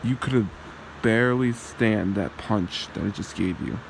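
A possessive demon husband acted out by a man taunts his listener in an ASMR roleplay. He speaks very slowly in a mid-pitched, creaky voice, mocking and leaving long gaps. A faint noise sits behind him. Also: poor audio.

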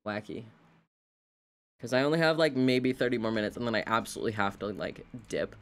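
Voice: medium pitch, lively intonation, fairly quick. A video game streamer giving casual live commentary, rambling and wryly talking to themself and the game. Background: video game sounds.

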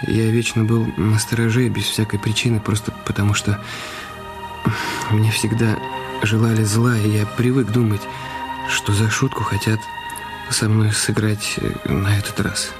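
Radio play role: anxious, devoted husband, gravelly mid-range voice, halting, coaxing delivery, music behind. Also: male voice, fairly low pitch, flat delivery. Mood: confiding, uneasy. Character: anxious, tender husband nursing his ailing wife. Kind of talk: radio drama acting.

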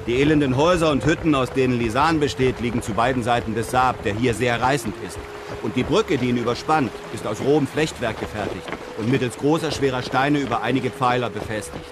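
An actor playing a composed, fearless European traveller, narrating and acting in a radio drama in a measured, articulate way. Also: male voice, mid-range voice, noisy background, close to an everyday voice. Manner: measured, articulate, self-assured.

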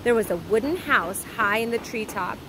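A woman's voice: fairly high pitch, expressive, moderate pace. A woman reading a children's story aloud expressively, like a teacher, recorded outdoors. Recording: clear outdoor ambient noise.

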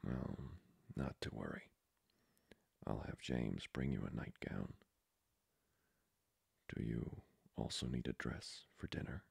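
Casting: male actor as seductive aristocratic vampire for ASMR roleplay, extremely low gravelly voice, courtly and intimate. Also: darkish tone, dry recording, clean studio sound, heavily acted. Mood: courteous, flirtatious. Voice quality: breathy, whispery, gravelly. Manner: formal, slow, seductively polite. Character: flirty, courtly male vampire lord, hungering for blood.

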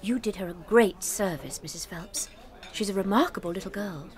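An actress playing a sweet, gentle British primary school teacher in a dramatised audiobook, speaking politely, patiently and earnestly. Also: female voice, mid-range voice, moderate pace, lightly acted. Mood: earnest, amazed.

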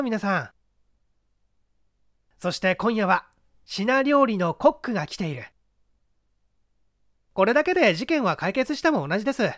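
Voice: mid-range voice, fairly bright tone, dry recording, clean studio sound. A narrator voicing a clever schoolboy detective, explaining a crime with methodical assurance in an audiobook reading.

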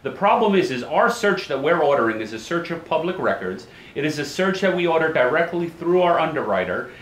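A man in his forties, seated at his office desk, explaining real estate title searches to camera in a brisk, plain-spoken way and repeating key phrases. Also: on the high side, roomy sound, a little hiss or hum, rough recording. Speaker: title company owner, show host.

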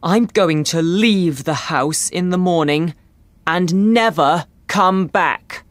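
A man's voice: sits high, takes it slowly, no echo, pitched above the performer's own voice. Man voicing a defiant accused young man. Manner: indignant, raised voice.

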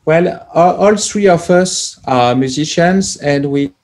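A man, a plug-in developer guest, answering casually with many pauses as he searches for words over a video call. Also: fairly high pitch, darkish tone. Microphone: headset on video call.

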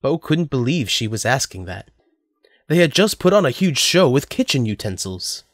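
A man's voice: medium pitch, sing-song, moderate pace, dry recording. Male story narrator, dry recording.

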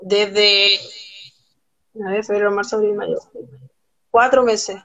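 A young woman with a Chilean accent, a guest on a live video call, speaking earnestly and quickly with many restarts. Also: mid-range voice, steady tone, sharp tone, highs cut off. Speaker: guest, documentary photographer. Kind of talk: casual live-stream interview. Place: small room at home, indoors. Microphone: phone camera on a video call.

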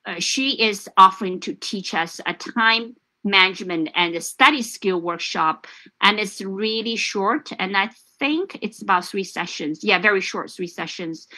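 A middle-aged woman from China, Chinese her first language, talks casually as host of a live chat. Her voice is bright and clear, and she circles back with fillers and restarts. She is heard through a video call from home. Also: medium pitch, measured pace, low-quality recording. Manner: chatty, many fillers and restarts. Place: home room.

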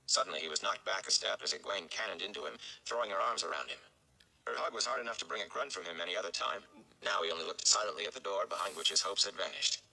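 Low, very bright, creaky male narrator. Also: quick pace, rough recording. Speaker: read-along reader. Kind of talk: dramatic audiobook narration. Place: indoor room at home.

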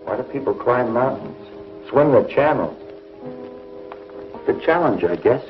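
A man's voice: medium pitch, expressive, unhurried, natural voice. A young man acting a bold, confident space pilot in a 1950s TV science fiction serial. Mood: confident, reassuring. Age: young adult. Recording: muffled old film soundtrack with music.

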